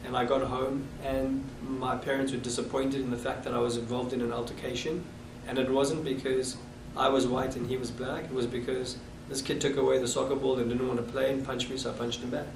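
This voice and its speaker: A man in his thirties with a South African accent, recalling his past with halting restarts in an oral history interview. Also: mid-range voice, flat delivery. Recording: echoey room, distant mic, noisy.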